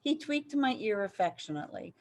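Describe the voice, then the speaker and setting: A woman reading a children's story aloud in an animated, dramatic way, with emphasis on key phrases. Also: medium pitch, brisk. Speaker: storybook reader.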